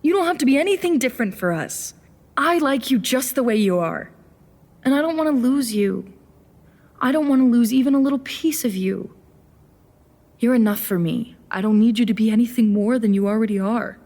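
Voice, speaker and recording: A woman playing a tender, pleading friend in an audio drama, earnest and soft-hearted. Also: on the high side, lively intonation, normal speed. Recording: long reverberant tail.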